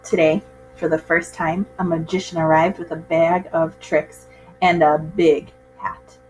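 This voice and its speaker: A woman reading a picture book aloud to children in an expressive, measured storytelling style. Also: low voice, faint music behind, low-quality recording.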